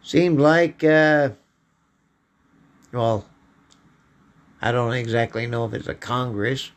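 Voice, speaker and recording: Hoarse, slow-talking man. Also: mid-range voice. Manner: slow, halting delivery with frequent throat clearing. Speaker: podcast host. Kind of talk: political commentary monologue.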